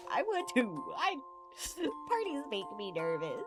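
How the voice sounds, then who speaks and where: A woman's voice: fairly high pitch, a little slow. A young adult woman giving casual, gushing commentary while she plays a visual novel and reads from it. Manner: excitable, gushing, stumbles while reading. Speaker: streamer playing a visual novel. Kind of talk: casual gaming commentary mixed with reading game text aloud. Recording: music playing behind the voice.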